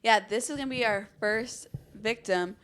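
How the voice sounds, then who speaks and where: A woman's voice: a little high, moderate pace, a bit bright, clear, resonant tone. A woman co-hosting a podcast, telling true-crime stories in an informal, conversational way. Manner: informal, chatty, acts out imagined remarks. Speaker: podcast co-host.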